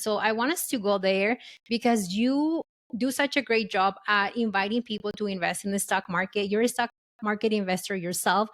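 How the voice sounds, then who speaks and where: A woman around forty hosting a podcast interview, enthusiastic and encouraging. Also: medium pitch. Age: 40s. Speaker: podcast host.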